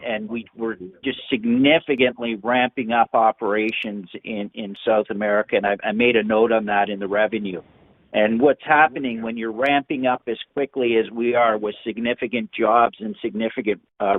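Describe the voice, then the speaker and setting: Male finance executive, mid-pitched, explaining off the cuff in halting, repetitive phrases, thin phone sound. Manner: halting, repeats words. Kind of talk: unscripted answer on earnings call. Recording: muffled narrow-band phone line.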